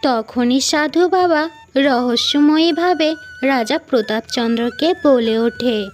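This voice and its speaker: A woman's voice: high voice, slow pace. A woman reading a scripted fairy tale as the storyteller, with music behind her. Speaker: storyteller and channel narrator.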